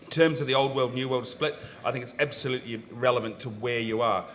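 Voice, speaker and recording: A man with a New Zealand accent talks on a seminar panel about wine lists. His voice is bright and forward, and his pitch sits mid-range. He is frank and opinionated, but he keeps an easy, conversational tone. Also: fairly even intonation, fast. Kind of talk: panel discussion. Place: seminar room.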